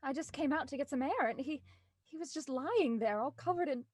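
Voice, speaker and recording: A woman playing an anxious, shaken heiress in a radio murder mystery, halting and pleading. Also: sits high, a little mellow, dry recording, clean studio sound, close to an everyday voice. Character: shaken, anxious heiress who found the body.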